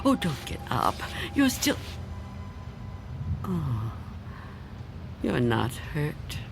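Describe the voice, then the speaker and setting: An actress playing a frightened, fragile old woman in a radio drama, tremulous, pleading and halting. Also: low-pitched, big pitch swings, gravelly, music in the background, full character voice. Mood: stunned. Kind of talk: dramatic radio play acting.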